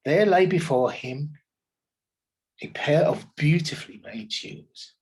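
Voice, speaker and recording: An English teacher reads a fairy tale aloud to young children, with expressive storytelling. His voice is a little high and quite breathy. The delivery rises and falls in a sing-song way. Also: slow pace, poor audio. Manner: expressive storytelling with questions to listeners.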